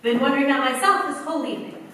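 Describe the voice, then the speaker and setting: Earnest, flustered young American working girl, acted by a woman, highish voice, radio drama, recorded in a very echoey hall. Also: racing, pushed and bright. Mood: candid. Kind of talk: staged 1940s-style radio play acting. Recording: echoey hall, distant stage mic, faint noise.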